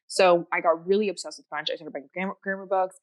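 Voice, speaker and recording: A woman's voice: on the low side, fairly even intonation, fast talker. A woman whose first language is Japanese, chatting enthusiastically as a podcast guest with lots of fillers. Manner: rambling, enthusiastic, many fillers and restarts. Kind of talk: casual chat.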